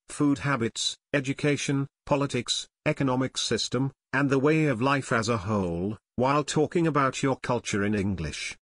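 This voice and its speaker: A man narrating a scripted English lesson, reading slide text slowly and evenly as the channel's host. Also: medium pitch, no echo. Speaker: English teacher.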